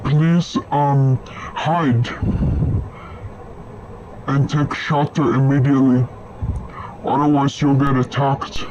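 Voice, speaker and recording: Flat-toned man, slow emergency announcer. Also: medium pitch. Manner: hesitant, halting. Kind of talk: amateur scripted emergency announcement. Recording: dull lo-fi audio with faint noise.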